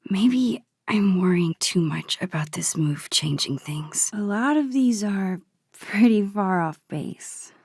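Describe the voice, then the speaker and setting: A woman playing a shy, sweet, nostalgic teenage girl in a video game, musing softly and breathily to herself. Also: medium pitch, animated, slow, dry recording, clean studio sound, heavily acted. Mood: wistful musing. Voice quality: soft, breathy, hushed. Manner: thoughtful, murmured musing to herself. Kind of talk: introspective game character monologue.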